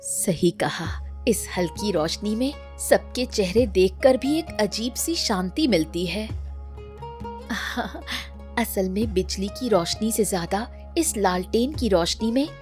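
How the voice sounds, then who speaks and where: A woman playing a gentle, affectionate village family woman in a Hindi cartoon story, tender and appreciative. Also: mid-range voice, expressive, takes it slowly, faint music behind.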